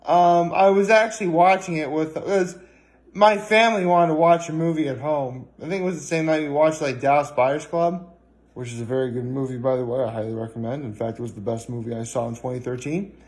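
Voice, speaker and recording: A man chatting casually in a vlog, rambling and hesitant, with frequent pauses and filler words. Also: fairly high pitch. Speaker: vlogger.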